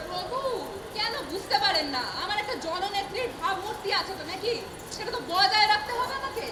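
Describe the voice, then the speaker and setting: A woman's voice: very high voice, fairly quick. A woman playing a grasping, scheming female leader of ghosts in a stage comedy, declamatory and wheedling like a rabble-rouser. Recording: echoey noisy stage with crowd.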